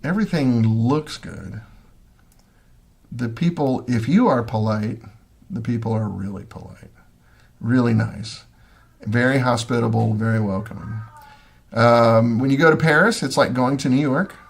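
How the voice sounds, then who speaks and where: Livestream host: a middle-aged Midwestern man with a gravelly voice, loose and rambling, lots of ums. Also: mid-range voice, measured pace, long pauses. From Missouri, USA. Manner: rambling, many ums and restarts. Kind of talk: casual chat, answering viewer comments. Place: home.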